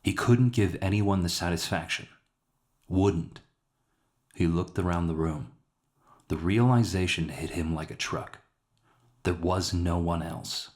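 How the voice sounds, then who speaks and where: A man with a fairly low voice narrates a dramatic piece of fiction. His delivery is scripted and measured, with a somber storytelling tone. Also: a little mellow. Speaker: author narrating his own short story.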